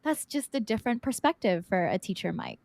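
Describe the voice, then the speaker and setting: A woman's voice: medium pitch, sing-song, a little fast, vocal fry, no room sound. A woman hosting a podcast interview, chatty, thinking aloud and checking in with her guest. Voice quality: loud projecting voice. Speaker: podcast host. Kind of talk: conversational interview.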